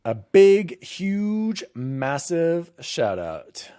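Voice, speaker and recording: A man hosting a casual gaming podcast, chatting with excitable, jokey emphasis. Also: on the high side, extremely slow, dry recording, clean studio sound. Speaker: podcast host.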